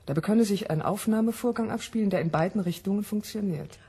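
Husky, mellow sceptical female scientist. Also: medium pitch, quick pace. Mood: clinical, sceptical. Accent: standard German. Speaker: actor in a radio drama. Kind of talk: 1970 German radio play acting.

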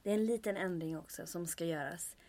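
A woman playing a spirited, quick-witted heroine in an old-time radio drama, with a wry, exasperated and expressive delivery. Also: fairly low pitch, natural voice. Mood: dry, composed.

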